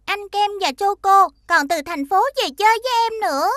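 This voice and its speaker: A woman's voice: extremely high pitch, normal speed, a bit bright, no room sound, clean studio sound. A woman voicing an eager, childlike little girl in a children's cartoon, with a Southern Vietnamese accent. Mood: wishful. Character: excitable, pleading little birthday girl. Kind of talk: animated children's cartoon dubbing.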